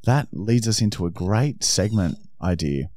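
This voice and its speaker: A young Australian man with a lowish voice hosts a comedy podcast. His talk is casual and crude, recorded dry on a studio microphone. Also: animated, measured pace, a little mellow, dry recording. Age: young adult. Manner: crude, swearing freely. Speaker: podcast host. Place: small podcast studio indoors. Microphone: boom-arm podcast microphone with headphones.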